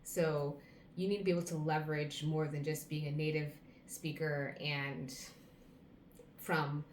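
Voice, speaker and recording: A woman's voice: low voice, level tone, unhurried. An American woman in her thirties, talking casually to camera and giving earnest advice, listing things off one by one. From United States. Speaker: vlogger. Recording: roomy echo, faint background hum.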